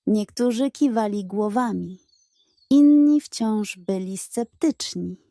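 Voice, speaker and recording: Female narrator for children's bedtime tales, slow-paced and warm in tone. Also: mid-range voice, no room sound, studio quality. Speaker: storyteller.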